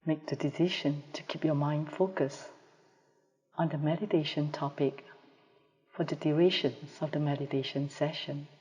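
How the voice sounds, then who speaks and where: A woman leading a guided meditation as a teacher, calm and measured, with pauses between phrases, recorded over a live video call. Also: low voice. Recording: reverberant hall-like sound, live video call.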